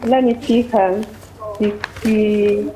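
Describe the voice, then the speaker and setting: A woman from Nigeria calling in to a live TV quiz, giving brief, unhurried replies. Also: mid-range voice, little pitch movement, mellow, slight background noise, low-quality recording. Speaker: phone-in caller.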